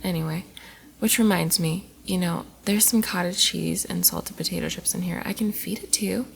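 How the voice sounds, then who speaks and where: A woman playing a tipsy, playful college girl in an intimate ASMR roleplay, rambling and teasing affectionately, often soft and whispery. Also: fairly low pitch, steady tone, moderate pace, vocal fry, poor audio.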